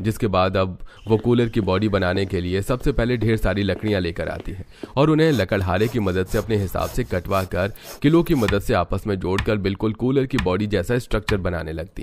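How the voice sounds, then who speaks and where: Male narrator for a cartoon tale, fairly deep, reading scripted story. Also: brisk, few pauses, a little hiss or hum.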